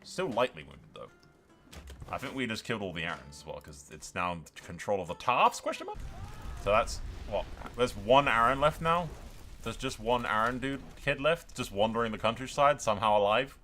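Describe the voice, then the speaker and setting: A man's voice: mid-range voice, lively intonation, moderate pace, bright, forceful tone, soft background music. A man giving casual, rambling, irreverent commentary as he plays through a game, swearing freely. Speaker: gaming video host.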